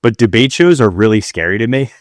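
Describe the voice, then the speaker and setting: Man, lowish pitch, dry close sound, podcast co-host, casual, informal slangy phrasing. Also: expressive, fast talker, no room sound. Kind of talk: casual chat.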